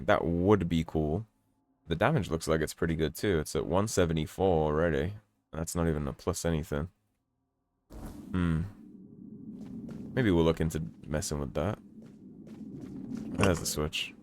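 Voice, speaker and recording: A man with a very deep voice commentates his game run in a casual, self-mocking way. His throat is a bit sore, so the voice comes out slightly croaky. Faint noise hums underneath. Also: moderate pace, pauses often. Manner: relaxed, wry, self-mocking. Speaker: let's play host.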